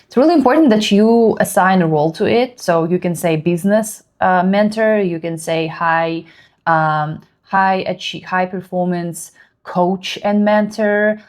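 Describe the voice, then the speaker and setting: Woman, second-language English, slightly low voice, halting screencast talk-through. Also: darkish tone. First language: Russian. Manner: halting, thinks aloud while typing, many fillers. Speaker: productivity vlogger. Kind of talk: screen-share tutorial.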